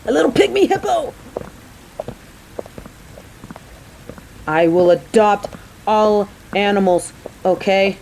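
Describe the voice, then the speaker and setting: Over a faint low rumble comes a woman acting as a caring coworker out on a date. Her voice is mellow and dark-edged, at a middling female pitch. She talks straight to the listener in a chatty, motherly and teasing way, as in an intimate audio roleplay. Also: big pitch swings, normal speed, creaky, poor audio. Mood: playful.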